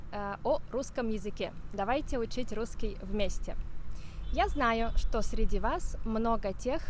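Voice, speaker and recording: A woman hosting an interview in a clear, teacherly way, repeating and rephrasing her guest's answers. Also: medium pitch, slight background noise. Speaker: host. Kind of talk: casual interview.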